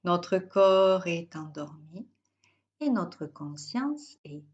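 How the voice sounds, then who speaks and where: A woman guiding a yoga nidra relaxation as a teacher, in short, measured instructional phrases with pauses. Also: lowish voice, big pitch swings, a little mellow, dull audio. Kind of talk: guided yoga nidra meditation.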